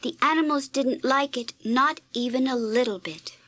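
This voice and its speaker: A woman reading a scripted children's read-along story in an expressive, deliberate storytelling manner. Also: sits high. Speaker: storybook narrator.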